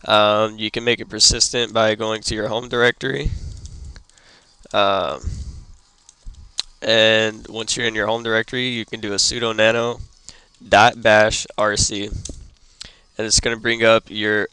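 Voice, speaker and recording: A young man who is sick and losing his voice, hosting a casual computer tutorial and stopping often with ums and throat clearing. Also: on the low side, flat delivery, measured pace, long pauses, sharp tone, no room sound. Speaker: tutorial host. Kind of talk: casual screen-recorded tutorial.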